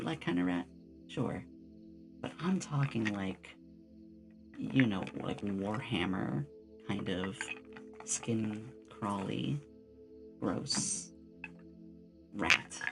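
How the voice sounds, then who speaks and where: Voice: mid-range voice, lively intonation, slow pace, creaky, long pauses, over music. A streamer chatting casually with viewers in an ASMR stream, rambling along like a storyteller. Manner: rambling storyteller, acts out short quotes. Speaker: streamer, VTuber host.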